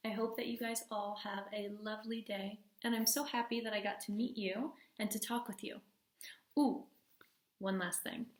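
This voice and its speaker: A woman teacher reading a storybook aloud to children, expressively, pausing to put questions to them. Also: medium pitch. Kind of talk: storybook read-aloud with class discussion. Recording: echoey room.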